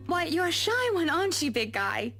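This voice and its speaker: Man doing a teasing married woman, coy falsetto, high-pitched, soft game music behind. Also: sing-song, normal speed, full character voice. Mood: flirty teasing. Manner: playful, coy, sing-song falsetto.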